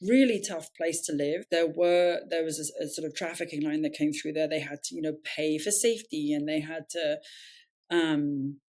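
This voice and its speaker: A woman's voice: deep voice, steady tone, normal speed, a little mellow. A woman with an English accent, a nonprofit founder telling her story in an interview, reflective and earnest, often correcting herself mid-sentence.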